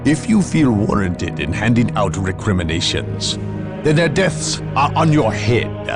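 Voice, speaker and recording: A man playing a cold, proud, zealous inquisitor in a video game, measured and imperious. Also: fairly low pitch, sing-song, over loud music. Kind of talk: dramatic video game acting.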